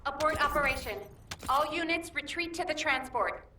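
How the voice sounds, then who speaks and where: A woman playing an earnest, urgent military operator in a video game, giving crisp mission reports. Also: high voice, normal speed, sharp tone. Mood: alarmed. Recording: distant, echoey, noisy game audio.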